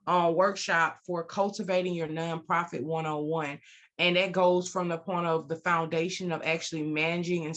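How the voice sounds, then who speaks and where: A woman's voice: fairly low pitch, a bit bright. A woman speaking as an interview guest, a consultant and trainer explaining and promoting her services over a video call.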